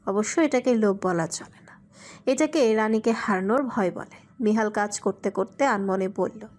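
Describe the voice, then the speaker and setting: A woman's voice: mid-range voice, moderate pace, a little mellow. A woman reading scripted narration for a romantic audio story.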